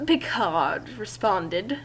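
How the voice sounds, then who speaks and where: A woman's voice: medium pitch, animated, extremely slow, a bit bright. A woman reading a fan fiction story aloud as narrator, in an amateur group session with joking asides.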